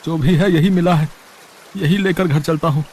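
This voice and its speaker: A man's voice: a little high, fairly even intonation, normal speed, a little mellow, slightly rough. A man playing a plaintive, poor village fisherman. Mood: dejected. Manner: plaintive, weary. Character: dejected, poor one-armed village fisherman.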